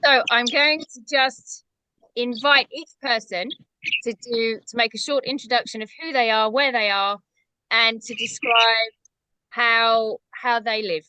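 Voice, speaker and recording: A woman hosting a group video-call chat, welcoming guests in a deliberate way. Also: on the high side, steady tone, pushed and bright, clear, resonant tone, dry recording, low-quality recording. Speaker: host.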